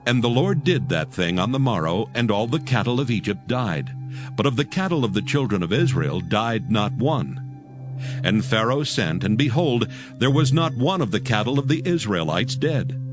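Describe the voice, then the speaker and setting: A man's voice: medium pitch, sing-song, moderate pace, close to an everyday voice. A man narrating a dramatized scripture reading in a solemn, scripted style. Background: music.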